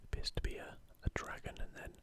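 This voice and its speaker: Hushed, whispered ASMR host. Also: fast. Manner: whispering throughout. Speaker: video host.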